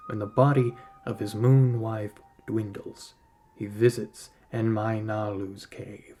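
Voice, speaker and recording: A man reading a literary story aloud as a narrator, in a measured, solemn storytelling manner. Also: medium pitch, mellow. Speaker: podcast host reading a short story aloud.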